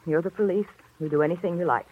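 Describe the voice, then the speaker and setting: An actress playing a cool, guarded doctor's nurse, clipped and formal in 1940s radio drama style. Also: female voice, fairly low pitch, fast, breathy edge. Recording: thin, phone-like vintage radio recording.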